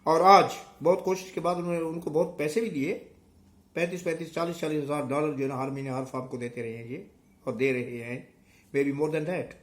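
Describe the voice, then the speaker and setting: A man's voice: fairly high pitch, steady tone, moderate pace. A middle-aged Pakistani man with a sore throat, giving emphatic, unscripted political commentary straight to his viewers with repetition for effect. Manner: emphatic, rhetorical questions and repetition.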